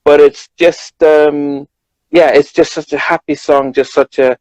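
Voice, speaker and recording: A man's voice: fairly high pitch, flat delivery, darkish tone, dry recording, lo-fi sound. British man chatting, dry close sound. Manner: halting, repeats words as he searches for them. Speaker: journalist co-host. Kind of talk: casual chat.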